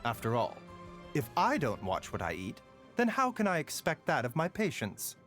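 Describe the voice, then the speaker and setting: Soft-spoken gentle physician. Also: male voice, mid-range voice, wildly swooping pitch. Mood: calm. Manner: soft-spoken, measured, sighing. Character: gentle, caring male physician, middle-aged. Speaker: doctor. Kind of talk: game character voice lines. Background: faint music.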